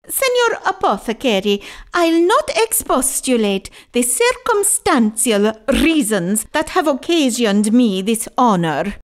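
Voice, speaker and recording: A woman as a high, theatrical, haughty governess. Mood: coy, grand. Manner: mannered, archaic theatrical phrasing. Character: husband-hunting governess, haughty and shrewd, in a farce. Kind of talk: classical stage farce acting in an audio play.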